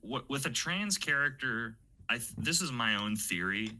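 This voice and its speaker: A man's voice: medium pitch, expressive, normal speed, pushed and bright. A man being interviewed as a guest, telling anecdotes in a casual, sweary, rambling way. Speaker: interview guest, audio drama creator.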